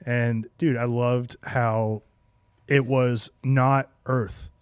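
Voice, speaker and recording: A man's voice: medium pitch, flat delivery, drawn-out words, clear, resonant tone, dry recording. A man co-hosting a podcast, chatting casually and enthusiastically in loose slang about movies. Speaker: podcast co-host. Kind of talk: casual banter about video game movies.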